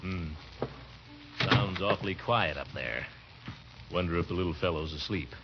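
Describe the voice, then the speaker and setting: An actor playing a blustering, easily exasperated but doting middle-aged uncle in a 1940s radio comedy, pompous and sputtering. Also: male voice, sits low, moderate pace. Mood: gentle, fussing. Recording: muffled vintage radio recording with hiss.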